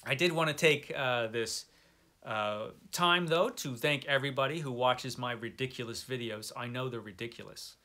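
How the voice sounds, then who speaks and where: A man's voice: medium pitch, normal speed, pushed and bright. A man hosting a book vlog in casual, unscripted chat, informal and self-deprecating, drawing out words for emphasis. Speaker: book vlogger, channel host.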